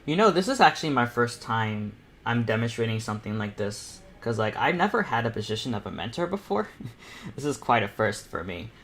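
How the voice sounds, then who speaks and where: A man's voice: mid-range voice, sing-song, brisk, a bit bright. A young man talking casually through a live art tutorial, rambling a little and repeating himself in an informal, encouraging way. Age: teenager or young adult. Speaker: painting panel host and self-taught artist. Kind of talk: casual live tutorial commentary while painting.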